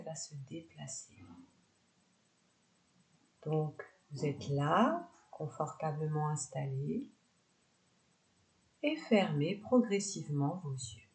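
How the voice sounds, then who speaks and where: A woman leading a guided yoga nidra relaxation, giving unhurried, soft instructions with pauses between phrases. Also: sits low, poor audio.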